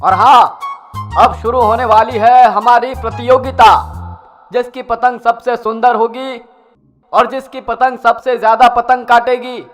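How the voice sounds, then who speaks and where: A man's voice: extremely high pitch, little pitch movement, unhurried, a bit bright, faint music behind. A man playing a society uncle who addresses children in a declamatory, instructive way in a cartoon. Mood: festive, instructive. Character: society uncle giving a festive speech to children.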